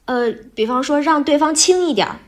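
A woman with a bright, sweet voice, explaining things step by step in a casual podcast interview as a voice actor guest. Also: high voice, a little fast, slight background noise.

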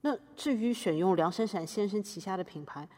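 A woman's voice: medium pitch, a little fast, dark tone, in a large hall, natural voice. A woman playing an earnest, defiant activist on trial, declaiming in a podcast courtroom drama. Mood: earnest defiance.

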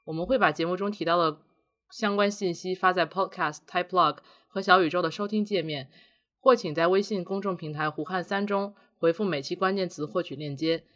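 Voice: mid-range voice, fairly quick, a bit bright, highs cut off. A podcast co-host chatting casually in a reflective, self-deprecating way. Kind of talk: casual two-person podcast chat.

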